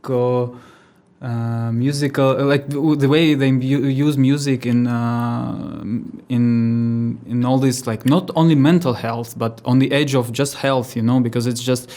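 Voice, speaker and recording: A man's voice: mid-range voice, fairly even intonation, unhurried, dark tone. A man from Moscow with a Russian accent speaking as a podcast guest, hesitant and earnest, with many fillers. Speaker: guest, creator of a music system. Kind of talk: casual conversation.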